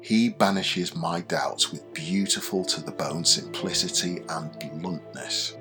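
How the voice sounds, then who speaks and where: A low-voiced young bar worker confides his story with wry self-deprecation. It is an intimate radio drama monologue, conversational in manner, with faint music underneath. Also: unhurried. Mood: candid.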